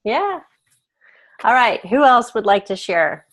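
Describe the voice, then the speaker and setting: A woman's voice: fairly high pitch, sing-song, slow, a bit bright. A woman hosting a women's group video call, explaining habits and the brain in an earnest, encouraging way. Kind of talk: coaching talk and group facilitation.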